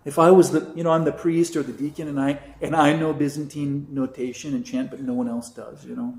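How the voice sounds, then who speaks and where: Priest, male, slightly raised pitch, casual teaching tone full of asides, roomy echo. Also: fairly quick. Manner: chatty, relaxed teaching with frequent "you know" asides. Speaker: priest teaching a catechism class. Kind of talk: informal class talk.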